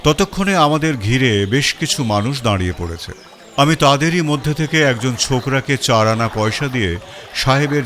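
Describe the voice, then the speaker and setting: A man's voice: medium pitch, a bit bright, a little hiss or hum. A man narrating a first-person detective story in a storytelling style.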